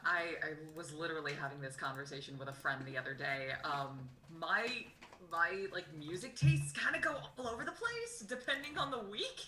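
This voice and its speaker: A young woman with a deeper voice, a voice actress guest chatting casually and rambling, laughing often, over a video call. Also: very animated, moderate pace, sharp tone. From United States. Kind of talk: casual convention panel interview. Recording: echoey, distant, faint background noise.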